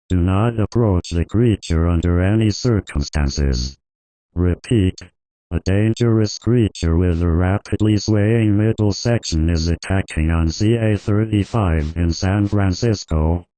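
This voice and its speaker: A man delivers a scripted emergency alert in a deep voice. His delivery is formal, flat and authoritative, with a subdued, dark tone. The sound is very dry, with no room to it. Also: no echo, rough recording. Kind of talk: scripted emergency broadcast narration.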